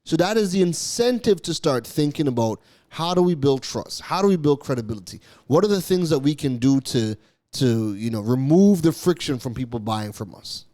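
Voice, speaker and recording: A man's voice: on the high side, lively intonation, normal speed, warm tone. Emphatic male business podcaster. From Canada. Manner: emphatic, repeats key phrases, occasional stumbles. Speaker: podcast host. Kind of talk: solo business podcast monologue.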